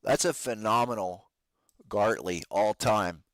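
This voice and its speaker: A man with a low voice that is wearing out from talking, recorded close and dry. He hosts a trading podcast, giving casual, slangy commentary on charts to his listeners. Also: measured pace, a bit bright, no room sound. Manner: informal trader slang, addresses listeners as guys. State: losing his voice, strained from talking.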